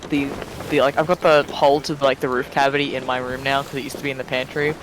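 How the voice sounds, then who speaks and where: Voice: mid-range voice, level tone, fast, background noise. A person chatting casually on a game stream and sometimes reading a story aloud, over voice chat with game ambience.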